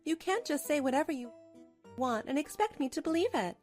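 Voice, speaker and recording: A woman acts out a betrayed young wife in a manga drama, her voice very high. She is cold, scornful and righteously angry, biting into each accusation. Soft music sits behind her. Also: sing-song, a little fast. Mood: accusing. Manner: cutting, accusatory. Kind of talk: dubbed manga drama acting.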